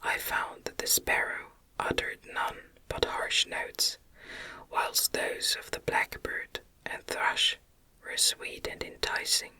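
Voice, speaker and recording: A narrator reading a gothic novel as a gentle, deliberate ASMR whisper.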